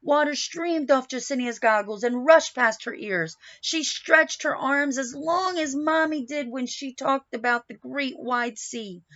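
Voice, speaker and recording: Expressive woman reading aloud, slow and high-pitched. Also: dull audio. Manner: animated, dramatic, engaging listeners with questions. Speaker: read-aloud storyteller. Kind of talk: expressive picture-book read-aloud with asides and questions to young listeners.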